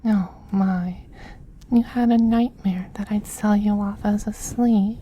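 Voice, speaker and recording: A man voicing a dominant but tender femboy vampire queen in a soft, slow, whispery ASMR roleplay, doting on a pet with condescension. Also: high voice, steady tone, lo-fi sound, slight background noise. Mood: possessive, doting.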